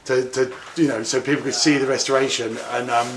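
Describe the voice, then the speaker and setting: A man giving a casual, informal explanation as a guide, halting and repeating words as he goes. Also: medium pitch, little pitch movement, a bit bright. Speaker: tour guide, fairground owner. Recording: echoey, noisy yard ambience.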